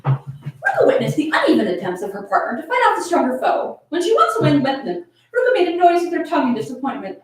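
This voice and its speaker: Female reader for comic fan fiction, high swooping voice, theatrical delivery, strong room echo with faint noise. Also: huge pitch swings, fast. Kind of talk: dramatic comic reading aloud. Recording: echoey, distant, faint background noise.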